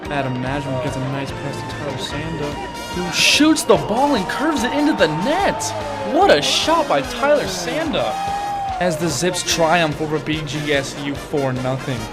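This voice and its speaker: A young man working as a student sports reporter, giving excited play-by-play commentary over match highlights. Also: measured pace. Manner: excited, exclaiming over plays. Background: music.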